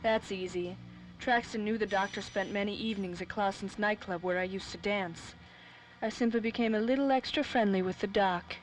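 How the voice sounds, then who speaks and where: A woman plays a plucky dame from a 1930s radio mystery. Her voice sits at a middle pitch, and she speaks in slangy, nightclub-girl fashion. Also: normal speed, a little hiss or hum, natural voice, rough recording. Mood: matter-of-fact. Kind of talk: 1930s old-time radio drama acting.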